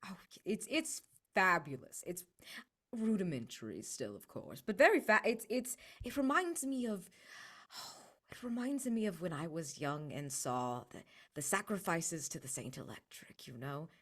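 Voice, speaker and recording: A man's voice: high-pitched, very animated, unhurried, a bit bright, heavily acted. A man voicing a sincere, nostalgic devotee of sacrifices in a tabletop game, musing effusively in a conversational way. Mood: nostalgic, fervent.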